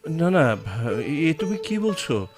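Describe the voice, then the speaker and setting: An actor playing an earnest young village husband and devoted son in a radio drama, emotional and hesitant. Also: male voice, on the high side, very animated, takes it slowly. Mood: hesitant, reassuring. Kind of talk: Bengali radio drama acting. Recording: music behind the voice.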